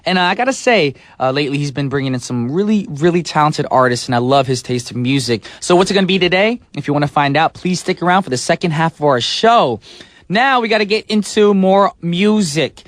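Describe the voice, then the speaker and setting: Brief: upbeat male radio DJ, slightly high voice, dry close studio mic. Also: lively intonation, brisk, no echo. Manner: playful, breaks into sung snippets and silly voices. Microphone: studio microphone.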